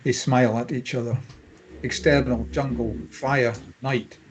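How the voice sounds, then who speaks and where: A man with a husky voice reading scene headings and stage directions as scripted narration at a table read. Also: mid-range voice, steady tone, a little slow, a little hiss or hum, rough recording.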